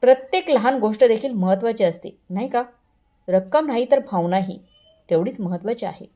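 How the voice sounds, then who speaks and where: A woman's voice: on the high side, fairly quick, muffled recording, natural voice. Meek older mother played by a woman. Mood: quietly firm. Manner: soft, humble, feigned innocence. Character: meek-seeming older mother, quietly proud, feigning naivety.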